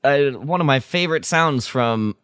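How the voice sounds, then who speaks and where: A man's voice: medium pitch, animated, normal speed, a bit bright, clean tone, no echo, clean studio sound. A man co-hosting a podcast, casually discussing films and thinking aloud as he gives his opinions. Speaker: podcast co-host. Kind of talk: casual film discussion chat.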